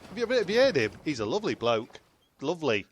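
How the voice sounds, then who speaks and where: A man playing a stubborn, blustering castaway in an amateur comedy audio drama, repeating himself haltingly and swearing. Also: fairly high pitch, big pitch swings, a little hiss or hum. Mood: exasperated. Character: blustering, stubborn would-be hijacker castaway called John.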